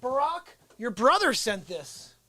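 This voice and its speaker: A male livestream host speaks in a high-pitched voice. He rambles off the cuff, pressing his points insistently and repeating them. The recording is dry, with very little room sound. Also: big pitch swings, slow, sharp tone, no echo. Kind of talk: unscripted rambling livestream talk.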